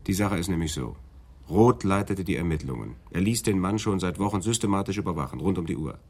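Crime radio drama: stern senior detective, low voice, pointed and factual delivery. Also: male voice, animated, quick pace, a little mellow, slightly rough, exaggerated voice. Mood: matter-of-fact. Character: senior police detective, stern, matter-of-fact. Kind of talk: radio play acting.

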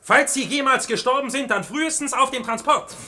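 An actor playing a combative, indignant defence lawyer in a radio play, arguing emphatically. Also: male voice, very high-pitched, brisk, pushed and bright, full character voice. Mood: argumentative. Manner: argumentative, emphatic. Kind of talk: German radio play acting.

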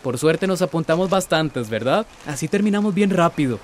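An actor playing a kindly, earnest young environmental volunteer in a radio drama. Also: male voice, fairly high pitch, expressive, moderate pace, close to an everyday voice. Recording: faint background noise.

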